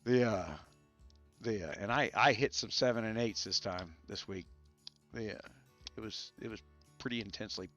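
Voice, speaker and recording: Gravelly low-voiced man, coughing. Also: moderate pace, pauses often, fairly bright tone, faint music behind. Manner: rambling, many restarts and fillers. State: irritated throat, coughing. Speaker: gaming channel host. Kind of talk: casual podcast chat. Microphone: studio mics set up to spare his voice.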